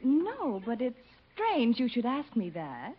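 A woman's voice: a little high, wildly swooping pitch, takes it slowly, slightly rough, exaggerated voice. An actress playing a flirtatious, gushing American woman in a 1950s radio sitcom, with theatrical coquettish delivery. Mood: coy, chatty. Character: flirtatious, gushing blonde woman visiting her sister. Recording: noisy, muffled 1950 radio recording.

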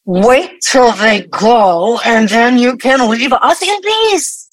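Woman acting a high-voiced, sarcastic hostile daughter. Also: expressive, slow, dry recording. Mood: tense, evasive. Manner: sarcastic, impatient. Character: hostile, sarcastic, grieving grown daughter of a dead mogul. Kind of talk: audio play acting.

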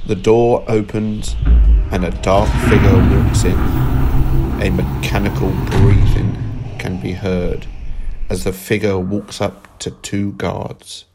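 A man with a fairly low voice narrates a scripted space fantasy story. Faint music plays behind his reading. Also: darkish tone. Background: music under the voice.

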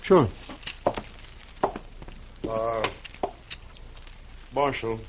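A meek, uneasy townsman in an old radio western, mid-pitched. The sound is dull, with some background noise. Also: extremely slow. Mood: hesitant. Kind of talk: 1950s radio western acting. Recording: muffled, noisy old radio recording.

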